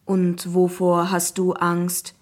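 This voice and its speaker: A woman voicing a friendly, coaxing AI app character in a children's audiobook, speaking in a slow, measured way. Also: lowish voice, completely flat delivery. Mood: friendly, inviting. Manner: slow, measured, deliberate delivery. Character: friendly, smooth-talking AI app voice, inviting and coaxing.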